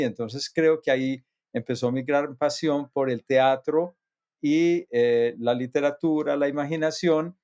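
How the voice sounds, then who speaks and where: A man's voice: fairly high pitch, a little mellow. A man from near Medellín with a Colombian accent, a guest telling his life story in a thoughtful way with many hesitations. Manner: thoughtful, frequent hesitations and restarts. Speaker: guest, psychotherapist and actor. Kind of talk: reflective personal storytelling.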